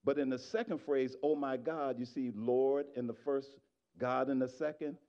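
A middle-aged man preaching a sermon in a church, on a handheld microphone, swinging from shouted exhortation to quiet asides to the congregation. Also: mid-range voice, steady tone, moderate pace, highs cut off. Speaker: pastor. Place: church sanctuary.

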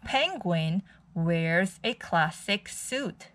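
A woman's voice: lowish voice, animated, very slow, fairly bright tone. A woman reading a picture book aloud for young children as a storytime host.

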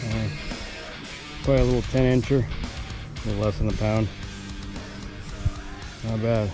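A man's voice: lowish voice, fairly even intonation, measured pace, music in the background. A man in his thirties talking casually in a fishing vlog, laid-back and full of filler uhs, first at a riverbank, later in a parked car. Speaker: fishing vlogger.

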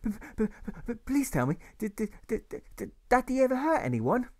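A man voicing a nervous, earnest young boy who stammers and pleads haltingly in an audiobook story. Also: very high voice, big pitch swings, a little mellow, voice pushed up, heavily acted. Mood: anxious, pleading.

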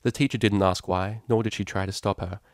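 A man's voice: on the low side, steady tone, fairly quick, no echo, studio quality. A man reading a scripted story aloud as an audiobook narrator. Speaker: audiobook reader.

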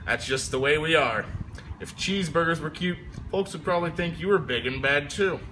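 Guy doing a folksy wronged wolf from a kids book, highish voice, mock innocent, noisy audio. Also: brisk, lightly acted. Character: chatty, aggrieved male storybook wolf pleading innocence. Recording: noisy amateur phone video recording.

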